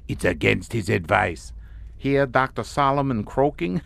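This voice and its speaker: An actor playing a courtly, grandiose and mockingly amused Hungarian count in a suspense audio drama. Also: male voice, medium pitch, lively intonation, takes it slowly, creaky, fairly bright tone, heavily acted. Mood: mocking amusement. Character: sardonic, theatrical Hungarian count, host of a chateau.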